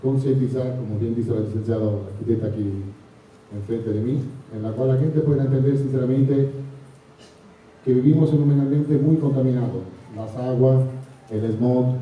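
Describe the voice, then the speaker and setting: A man from Italy with an Italian accent, an invited chef making an earnest, halting remark at a panel discussion. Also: mid-range voice, steady tone, mellow, a little hiss or hum. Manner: earnest, halting, apologetic about his Spanish. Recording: echoey hall picked up from far off.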